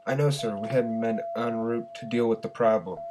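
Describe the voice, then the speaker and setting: A man's voice: medium pitch, fairly even intonation, normal speed, noisy background, lightly acted. A man voicing a nervous, deferential army private reporting to his superior in an audio story. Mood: nervous, apologetic. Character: dutiful, nervous young male army private.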